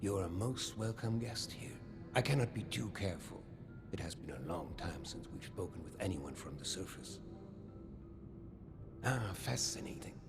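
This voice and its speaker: A man's voice: deep voice, expressive, moderate pace, over loud music. An actor playing a suave, pompous older German vault overseer and businessman in a video game, courtly and flamboyant in manner. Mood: charmed, intrigued. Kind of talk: scripted video game dialogue.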